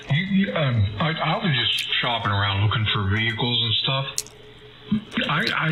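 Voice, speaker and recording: A man's voice: medium pitch, bright, forceful tone. A man talking casually over amateur radio in an informal, rambling way. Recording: noisy amateur radio transmission.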